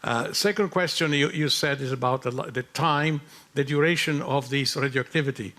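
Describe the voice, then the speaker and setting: Halting man explaining physics on a panel. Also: mid-range voice, fairly bright tone. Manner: halting, repeats words, non-native phrasing. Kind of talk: technical panel answer. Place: conference hall.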